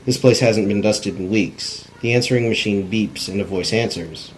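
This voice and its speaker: A man with a fairly low voice narrates a script's stage directions at a table read. It is an amateur recording with faint noise behind him. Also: normal speed, poor audio. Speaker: screenwriter reading stage directions.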